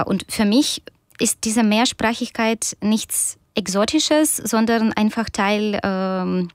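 A woman in her thirties, a doctor and author whose first language is Russian, answering questions in a radio interview with many pauses and fillers. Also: medium pitch, no room sound, studio quality. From Ukraine.

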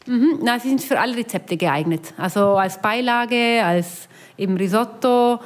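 A woman's voice: on the high side, a little slow. A woman from Austria with an Italian tinge to her Austrian accent, a farmer explaining things hesitantly in an interview. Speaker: rice farmer being interviewed.

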